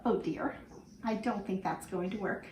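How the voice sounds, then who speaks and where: A woman teacher reading a picture book aloud to children in an animated way, stopping to ask them questions. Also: medium pitch, moderate pace, noisy background. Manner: animated, asks the listener questions. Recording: echoey room with faint ambience.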